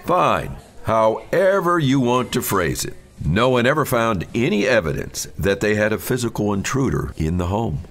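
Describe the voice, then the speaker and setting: A smug, insistent self-styled psychic acted by a man from an audio horror story. His voice sits low but leaps around with theatrical emphasis, condescending in every phrase. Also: sing-song, normal speed, few pauses. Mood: emphatic, irritated.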